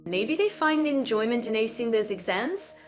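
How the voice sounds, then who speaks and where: A woman's voice: on the high side, lively intonation, moderate pace, over music. A woman co-hosting a scripted podcast, chatting in an upbeat way with bubbly little interjections. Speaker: podcast co-host.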